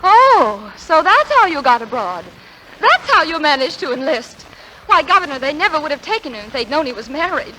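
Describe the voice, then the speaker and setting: A scheming, quick-witted dame in a 1940s radio play. Her voice is high and bright, with theatrical delivery and wide swings. It comes from an old, faintly noisy recording. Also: very animated, exaggerated voice. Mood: tearful, hysterical. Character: scheming brisk American newswoman posing as a gushing wife.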